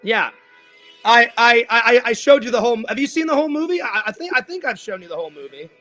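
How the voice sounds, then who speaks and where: High-voiced man in his forties, rambling. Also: animated, fast, over music. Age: 40s. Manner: rambling, repeats words and restarts often. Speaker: host. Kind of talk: casual interview chat.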